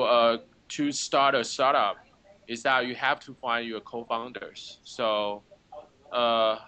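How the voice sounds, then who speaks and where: A man, speaking haltingly and searching for words, with a dry sense of humor. His voice is bright and forceful with a smooth, crisp tone. The recording is dry and close. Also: mid-range voice, no echo. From Taiwan. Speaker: startup founder. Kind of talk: casual interview answers.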